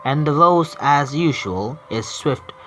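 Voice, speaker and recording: Slow, lively man reading game text. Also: a little high, a little hiss or hum, rough recording. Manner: halting reading with stumbles and self-corrections. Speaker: streamer. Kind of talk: reading game narration aloud.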